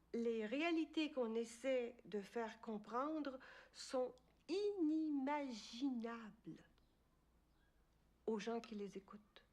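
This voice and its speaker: Une femme interviewée qui réfléchit à voix haute, lente et appuyée. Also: female voice, high voice. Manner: slow, emphatic. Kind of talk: reflective interview answer.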